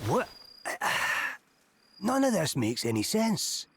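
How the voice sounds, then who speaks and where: A man's voice: sits high, huge pitch swings, slow, creaky, a little hiss or hum, exaggerated voice. A man playing a concerned, bewildered friend in a video game drama, earnest and questioning.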